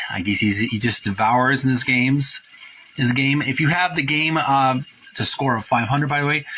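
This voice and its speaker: A man's voice: mid-range voice, pushed and bright, slight background noise, rough recording. A middle-aged man hosting a casual video, describing box art in a rambling, hesitant way with many fillers and restarts, and calling his viewers folks. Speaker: host.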